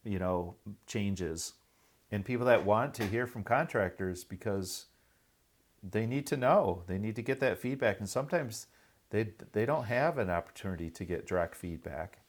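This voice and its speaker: A man with a fairly low voice talks as a podcast host. He is informal and chatty, pitching industry events with open enthusiasm in a conversational style. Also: expressive, moderate pace. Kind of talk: casual conversational promotion.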